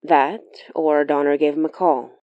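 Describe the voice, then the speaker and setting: A woman's voice: low-pitched, steady tone, moderate pace, dry recording, phone-quality sound, natural voice. A woman playing a wry, watchful sorcerer who narrates a horror audio diary in a confiding, matter-of-fact way.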